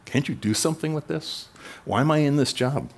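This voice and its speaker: A man preaching a sermon in a conversational way, rising in pitch and volume for emphasis. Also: fairly quick. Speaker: pastor.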